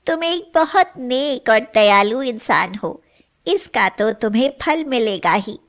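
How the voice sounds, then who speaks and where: A woman plays a magical golden cow in an animated moral tale. She speaks in a fairly high voice and takes her time over every phrase. She sounds gentle, solemn and just, like one who hands out rewards. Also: slow, full character voice. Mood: kind, generous. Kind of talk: animated moral story dialogue in Hindi.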